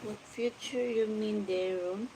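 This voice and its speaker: A Filipino woman in her forties with a Filipino accent talks slowly and flatly, and her voice has a gravelly edge. She greets her livestream viewers by name and answers their comments. Steady background noise runs under her voice. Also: mid-range voice. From Philippines. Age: 40s. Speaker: livestream vlogger. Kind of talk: casual livestream chat reading comments. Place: home at night.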